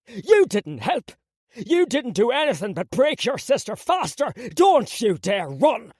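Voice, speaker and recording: A woman's voice: a little high, big pitch swings, a little slow, a little mellow, breathy edge, no echo, clean studio sound, voice pushed up, heavily acted. A woman voicing a bitter, furious father who sneers and accuses his child. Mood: seething.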